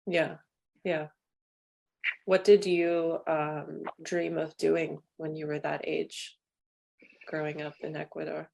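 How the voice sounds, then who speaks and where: A young woman hosting a podcast, talking in a gentle, affirming way and laughing easily. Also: low voice, flat delivery, slow, gravelly, pauses often, rough recording. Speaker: podcast host. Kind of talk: casual conversation.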